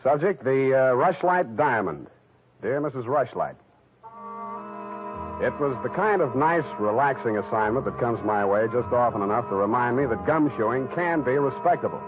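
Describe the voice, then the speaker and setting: An actor playing a wisecracking, deadpan hard-boiled private detective in 1940s radio style, on a vintage recording. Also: male voice, medium pitch, moderate pace, narrow, tinny audio, close to an everyday voice. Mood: wry, dictating. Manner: deadpan, sardonic. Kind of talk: 1940s radio acting, scripted narration. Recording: band-limited vintage radio recording with music.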